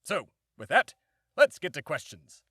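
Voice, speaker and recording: A man's voice: fairly high pitch, huge pitch swings, measured pace, slightly rough, dry recording, clean studio sound, heavily acted. A man playing a bombastic, self-important immortal embodiment of America in a comedy podcast monologue. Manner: pompous, shouting announcer bursts, rambling asides.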